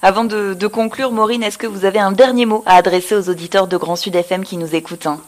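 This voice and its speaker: A woman radio host introducing and interviewing a guest in a clear, polished announcer's delivery. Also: mid-range voice, steady tone, quick pace.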